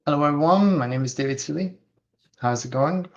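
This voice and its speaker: A man from Hungary, a guest instructor speaking haltingly with many fillers. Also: a little high. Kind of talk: casual webinar talk. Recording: dull lo-fi video call audio.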